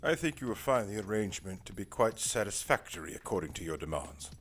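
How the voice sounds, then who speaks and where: A man's voice: fairly low pitch, sing-song, fast talker, a bit bright. A man playing a formal, dry, weary older private investigator in an audio drama, speaking in precise, wordy sentences. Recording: music behind the voice.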